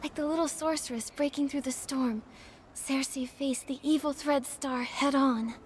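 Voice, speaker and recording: A woman voicing an earnest, kind young heroine girl in video game dialogue, gentle and determined. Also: high-pitched, fairly even intonation, moderate pace, a little hiss or hum, natural voice. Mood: sympathetic. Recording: background music under the voice.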